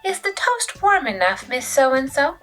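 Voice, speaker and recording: A woman voicing an exasperated, gushing mother in a storybook reading, shrill and emphatic. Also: big pitch swings, measured pace, a bit bright, soft background music, exaggerated voice. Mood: gushing, cheery.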